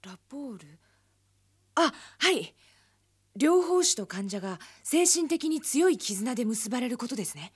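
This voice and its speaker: A woman's voice: on the high side, sing-song, measured pace, husky, heavily acted. An actress playing an attentive, concerned young female assistant in a radio drama, deferential and eager.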